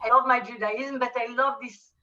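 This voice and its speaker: A woman's voice: a little high, fairly even intonation, dull audio. Older Moroccan-French woman, distant video call. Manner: warm, halting, searching for words. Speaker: guest chef. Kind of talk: casual chat on a video call.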